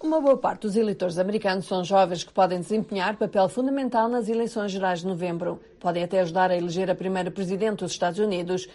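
A woman narrates a news report. She reads evenly and steadily at a mid pitch. Her delivery is measured and clear. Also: dark tone.

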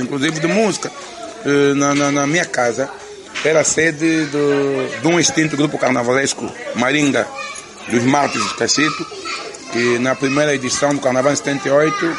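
A man with an Angolan Portuguese accent, a musician answering interview questions in an earnest, reflective way, restarting phrases often. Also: on the high side. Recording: crowd noise behind.

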